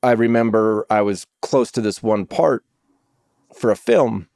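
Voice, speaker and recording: A middle-aged man, an actor, telling stories on a podcast in an animated way and swearing freely. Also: lowish voice, a little slow, vocal fry, dry recording, clean studio sound. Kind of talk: casual podcast chat.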